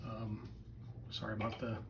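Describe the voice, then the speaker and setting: A man's voice: on the low side, monotone, moderate pace, creaky. Casual, hesitant man with background noise. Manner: hesitant, rambling, plain-spoken. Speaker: hobbyist video presenter. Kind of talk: casual unscripted product demo.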